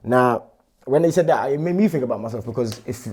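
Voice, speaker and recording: A young London man talking quickly in a casual panel chat, his voice sitting at an ordinary pitch. He lays out his argument earnestly and keeps checking that the others follow him. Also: animated, darkish tone, breathy edge. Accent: London English. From England. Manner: earnest, builds points with repeated "do you get what I'm saying". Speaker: panel co-host.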